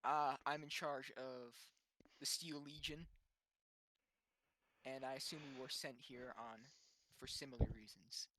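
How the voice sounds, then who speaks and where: Halting man at a gaming session, very dry. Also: mid-range voice, fairly even intonation, bright, forceful tone, dry recording. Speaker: tabletop game player. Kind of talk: casual game chat.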